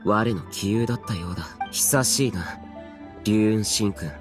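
An actor playing an ancient yet youthful guardian spirit warrior in an anime game, stern and aloof, speaking in an archaic, solemn, terse way. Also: male voice, fairly low pitch, measured pace, over music, close to an everyday voice. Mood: reserved, grave.

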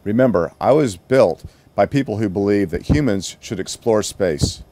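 A man reading as a proud, kindly retired space shuttle from a children's storybook. The voice is low and mellow with an American accent, gentle and encouraging. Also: expressive, normal speed, dry recording, natural voice.

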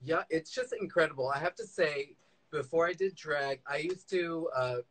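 A man's voice: a little high, lively intonation, normal speed, a bit bright, rough recording. A man hosting a casual chat interview in a gushing, informal way. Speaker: interviewer, drag queen host. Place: indoors, small room. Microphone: phone at arm's length, video call.

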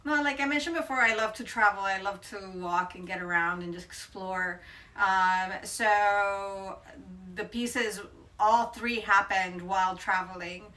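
A woman's voice: mid-range voice, measured pace, sharp tone, recorded from a distance, rough recording. A woman in her forties from Colombia, answering interview questions thoughtfully with frequent hesitations. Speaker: interviewed photographer. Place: bright room by a window, indoors.

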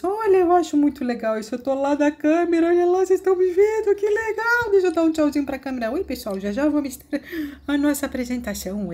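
A slightly hoarse woman chattering excitedly as she narrates a children's game. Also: high-pitched. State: somewhat hoarse after a cold. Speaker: host. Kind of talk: playful narrated gameplay.

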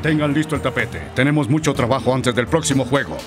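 An actor playing a stern, authoritative football coach in a cartoon, giving instructions. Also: male voice, medium pitch, quick pace, music in the background, lightly acted. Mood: firm.

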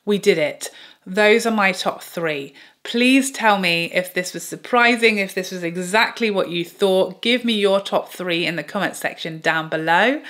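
Woman vlogger, mid-pitched, slightly hoarse and tired, effusive and self-mocking in a chatty review. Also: unhurried. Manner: gushing, animated and playfully self-mocking. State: hoarse, tired voice after long talking. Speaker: beauty vlogger. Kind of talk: chatty makeup review to camera.

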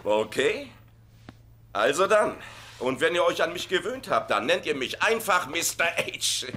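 A man's voice: on the high side, big pitch swings, unhurried, very noisy. A man acting in a German sitcom dub as an eager, insecure husband turned substitute teacher, hesitant and awkwardly chummy. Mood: nervous, eager.

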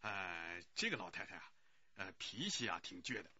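Radio drama committee director: effusive gossip, very bright and husky, swooping intonation. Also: male voice, deep voice, big pitch swings, normal speed, no room sound, exaggerated voice. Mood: gossipy, explanatory. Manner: effusive, hesitant, gossipy, full of interjections. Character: chatty, eager-to-help male neighbourhood committee director. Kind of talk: Chinese radio drama acting. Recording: dull, muffled radio drama recording.

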